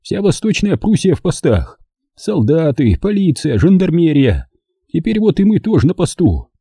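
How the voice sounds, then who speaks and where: A man's voice: fairly high pitch, big pitch swings, moderate pace, gravelly, dark tone, husky, no echo, studio quality, pitched above the performer's own voice, heavily acted. A man doing a whining, tearful old man. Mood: lamenting. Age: older. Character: frightened old German man pleading for his life.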